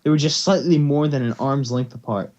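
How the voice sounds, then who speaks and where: A man's voice: mid-range voice, brisk, a little mellow. Man narrating a story, slightly dull recording. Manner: stumbles over words while reading. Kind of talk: amateur fan fiction narration.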